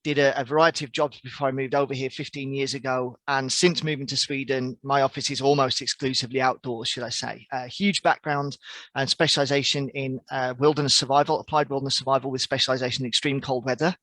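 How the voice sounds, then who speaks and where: A man's voice: medium pitch, little pitch movement, fast, fairly bright tone, no room sound. A man in his forties from the English Midlands, with an English accent, answering interview questions on a video call in a reflective, earnest way. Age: 40s. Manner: reflective, earnest, with frequent restarts and fillers. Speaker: podcast guest, outdoor coach.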